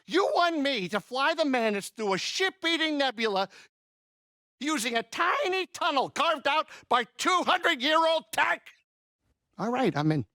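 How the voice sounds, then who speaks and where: A man playing a middle-aged alien pilot and saloon owner in a video game, anxious but kindhearted, with expressive, folksy, wry grumbling. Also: very high-pitched, unhurried, no echo, studio quality, full character voice. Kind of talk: video game character acting.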